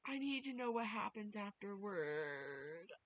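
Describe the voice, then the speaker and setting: A woman's voice: medium pitch, sing-song, measured pace. A woman livestreaming a visual novel, reading the text aloud and reacting playfully as she goes. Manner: playful, reactive, shifts between reading and chat. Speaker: streamer. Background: loud game music.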